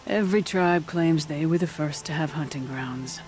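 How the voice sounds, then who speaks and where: An actress playing a seasoned older huntress who trains hunters, wry and blunt, in video game dialogue. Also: female voice, low voice, moderate pace, a little mellow, natural voice. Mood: wry, proud. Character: seasoned old huntress, wry trial keeper.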